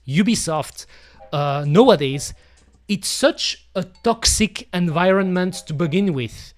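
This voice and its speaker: Gaming streamer, English, loose rambling chatter full of fillers and casual cursing. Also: medium pitch. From Belgium. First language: French. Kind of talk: casual chat while playing.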